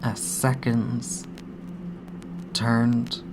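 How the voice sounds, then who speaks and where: A woman acting a grieving, haunted daughter in an audio drama monologue, speaking intimately and haltingly with hushed, breathy dips. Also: rumbling low, level tone, noisy background, full character voice. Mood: hesitant, haunted. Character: grieving adult daughter, anxious, haunted, longing for her dead father.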